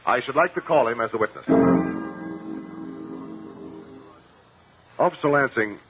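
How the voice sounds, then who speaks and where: An actor playing a shrewd, confident courtroom investigator in a 1950s radio drama, speaking formally and precisely. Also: male voice, medium pitch, animated, fast talker, loud music behind the voice, lightly acted. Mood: probing, formal. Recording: muffled, noisy old radio recording.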